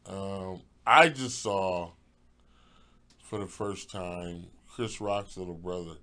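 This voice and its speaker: A middle-aged man, a comedian guest, chatting casually and haltingly, trailing off and joking. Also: low-pitched, pushed and bright. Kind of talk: casual podcast chat.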